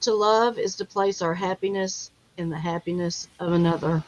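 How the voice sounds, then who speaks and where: A woman's voice: on the low side, fairly even intonation, moderate pace, poor audio. A woman hosting a casual live-stream chat, talking in an everyday conversational way.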